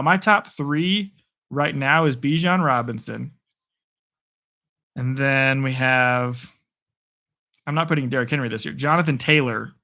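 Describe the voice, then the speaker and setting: A young man calling play-by-play for a live stream, excitable and chatty, answering chat, his voice getting worn. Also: medium pitch. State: losing his voice. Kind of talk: casual live play-by-play commentary.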